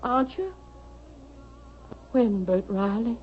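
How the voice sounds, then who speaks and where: An actress playing a proud, suspicious elderly Southern mother in a vintage radio drama, haughty, sharp and demanding. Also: fairly high pitch, animated, takes it slowly, loud music behind the voice. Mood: cold, accusing. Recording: dull vintage radio broadcast, faint noise.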